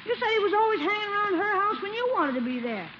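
Very high-voiced cheeky boy. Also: very animated, quick pace. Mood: eager, curious. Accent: American. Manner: eager, blunt, wisecracking. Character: cheeky, eager young boy, a nephew. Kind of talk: 1950s American radio sitcom acting. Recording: muffled, hissy old radio broadcast.

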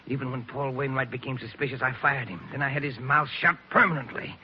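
A man's voice: mid-range voice, steady tone, quick pace, lightly acted. A man playing a scheming, scornful crooked boss who blusters in agitation, acted in 1940s radio style. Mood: furious, panicked. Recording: muffled, noisy 1940s radio recording.

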